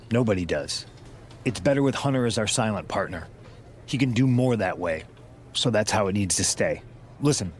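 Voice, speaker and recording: A man's voice: fairly low pitch, a little hiss or hum, lightly acted. A man voicing a calculating wrestler who leads a rebel faction, firm and persuasive in video game cutscene dialogue.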